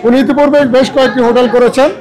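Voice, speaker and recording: A man's voice: extremely high pitch, level tone, racing, poor audio. A man with a Bangladeshi accent giving spoken remarks to a news camera. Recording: busy crowd noise around.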